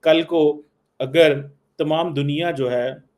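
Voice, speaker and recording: A man with a Pakistani accent giving unscripted political commentary, halting and repeating himself, with English phrases mixed in. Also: a little high, fairly even intonation, darkish tone. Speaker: news commentator.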